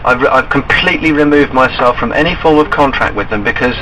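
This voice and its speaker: A man's voice: mid-range voice, fast talker. A man on a phone call, earnestly arguing his case and insistently pressing his points. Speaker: caller. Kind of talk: argumentative phone conversation. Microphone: telephone call. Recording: noisy recorded phone call.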